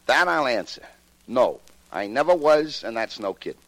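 An actor with an American accent playing a defiant, sneering hired crook in a 1940s radio crime drama. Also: male voice, medium pitch, very animated, moderate pace, a bit bright, no room sound, rough recording. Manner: sneering, tough, slangy. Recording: vintage 1940s radio recording.

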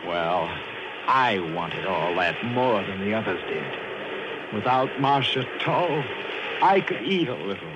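A man's voice: on the low side, sing-song, takes it slowly. An actor playing a ruthless, starving castaway who becomes a murderer and narrates his own story in 1940s radio drama style. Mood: brooding. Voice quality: hard-edged, brooding first-person narration. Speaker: narrator and lead character. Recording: hissy old radio broadcast recording.

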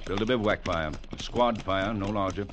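An actor playing a stern cavalry captain in an old-time radio drama, giving orders in a clipped, commanding way. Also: male voice, fairly low pitch, normal speed, lightly acted. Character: stern, curt male cavalry captain briefing a subordinate. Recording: noisy 1950s radio recording.

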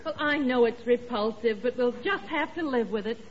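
A woman's voice: on the high side, moderate pace, a little hiss or hum, close to an everyday voice. An actress playing a sensible, resigned wife and mother in a 1940s radio sitcom. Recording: muffled 1940s radio recording.

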